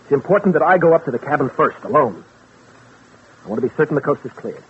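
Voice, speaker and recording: Man acting smooth scheming friend, tinny old radio. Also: a little high, animated, quick pace, lightly acted. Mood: reassuring. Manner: persuasive, reassuring, takes charge. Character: smooth, scheming male friend posing as a loyal helper. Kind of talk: 1940s radio drama acting. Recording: noisy, tinny vintage radio recording.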